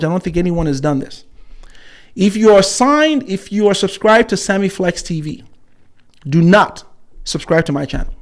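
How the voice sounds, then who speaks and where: A man in his forties hosting a live stream, combative and repetitive, with frequent fillers and pauses. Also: sits high. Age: 40s. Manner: combative, repeats himself for emphasis, frequent fillers. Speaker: channel host.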